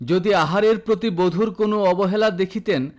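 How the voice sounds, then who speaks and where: A man narrates a literary audio story. His voice sits a little high for a man. The reading is formal and dramatic, in the manner of a storyteller. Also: moderate pace.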